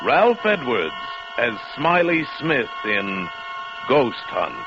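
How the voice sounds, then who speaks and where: A man announcing a 1940s radio thriller in a formal, measured, portentous style. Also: medium pitch, very animated, background noise. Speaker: show announcer. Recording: muffled vintage radio recording. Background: loud music.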